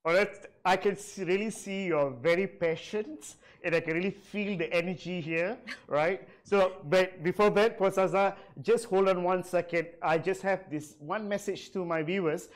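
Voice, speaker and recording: A man hosts the interview, asking long, winding questions in a courteous, deferential tone. His voice sits unusually high for a man. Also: moderate pace, hardly any pauses. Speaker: interview host. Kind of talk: hosting an interview.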